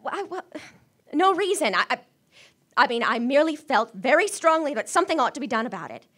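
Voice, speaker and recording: A woman's voice: high voice, big pitch swings, brisk, a bit bright. A woman playing a nervous, demanding, self-pitying invalid wife who stammers and fusses in an old-fashioned suspense radio play. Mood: agitated, urgent. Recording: live audio theater stage recording.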